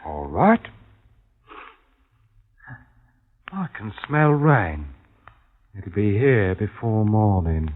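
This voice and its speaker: An actor playing a hardened, bitter jungle guide in a 1950s American radio drama, speaking intensely in clipped, emphatic sentences. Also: male voice, on the low side, sing-song, takes it slowly, full character voice. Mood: quiet, menacing. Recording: muffled, noisy old radio recording.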